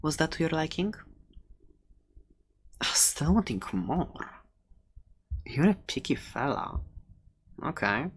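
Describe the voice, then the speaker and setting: A woman playing a friendly, chatty fantasy shopkeeper in a soft ASMR roleplay, playful and hesitant as she rambles through her sales pitch. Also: very animated, takes it slowly, pauses often, slight background noise, full character voice. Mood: coy.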